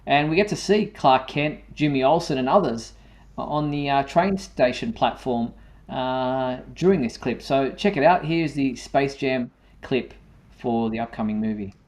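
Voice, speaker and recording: A man presents entertainment news as a show host. His voice is medium-pitched, and he talks conversationally with a halting delivery. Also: a little mellow. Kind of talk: semi-scripted fan news presenting.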